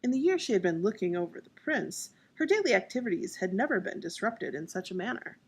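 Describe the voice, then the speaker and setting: A woman's voice: medium pitch, big pitch swings, fairly quick, breathy edge. A woman narrating as an author and storyteller. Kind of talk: scripted audiobook narration.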